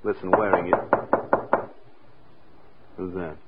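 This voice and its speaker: A man's voice: sits low, steady tone, slow. An actor playing a menacing gunman in an old radio crime drama, with slangy, taunting tough-guy talk. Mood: cool menace. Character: menacing gunman thug, tough-talking, coolly threatening. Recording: tinny, noisy old radio recording.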